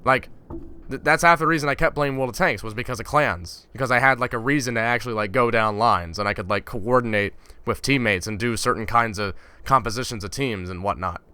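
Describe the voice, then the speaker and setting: A man's voice: mid-range voice, a little fast, bright, forceful tone, clear, resonant tone. A young man from Finland, a gaming YouTuber, ranting in a casual, exasperated and sarcastic vlog.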